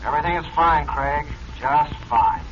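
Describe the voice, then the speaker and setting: A man portrays a cool accomplice to murder in a 1940s radio mystery. His fairly high voice is assured and conspiratorial. The recording is dull and faintly noisy. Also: animated, moderate pace, close to an everyday voice. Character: smooth-talking male accomplice in murder, reporting coolly.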